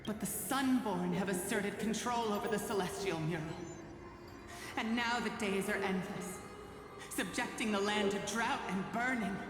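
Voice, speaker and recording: A woman's voice: mid-range voice, moderate pace, close to an everyday voice. A woman voicing a proud, bitter leader of an oppressed people in formal, declamatory game dialogue. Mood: indignant, defiant. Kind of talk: solemn theatrical game dialogue. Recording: cavernous reverb, music underneath.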